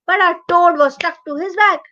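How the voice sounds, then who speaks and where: A woman's voice: very high voice, muffled recording. A woman with an Indian accent narrating a children's bedtime story expressively, drawing out and repeating words for emphasis. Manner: expressive, drawn-out repetition for emphasis.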